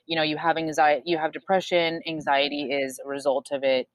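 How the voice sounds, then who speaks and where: A woman's voice: low-pitched, little pitch movement, fairly quick. A woman in casual chat, explaining things and swearing freely.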